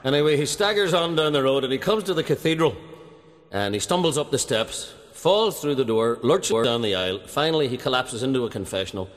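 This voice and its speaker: A man spins a long comic yarn and works each bit toward its punchline. His voice sits at an ordinary middle pitch. A long hall reverb follows every phrase. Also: lively intonation, brisk. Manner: storytelling, building to punchlines. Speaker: joke teller. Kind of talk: telling a long comic joke.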